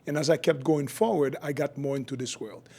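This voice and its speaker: A man's voice: on the high side, fairly quick, a little mellow, slightly rough. A middle-aged man from Haiti, a physician guest explaining his work and views in a podcast interview. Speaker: guest, functional medicine physician.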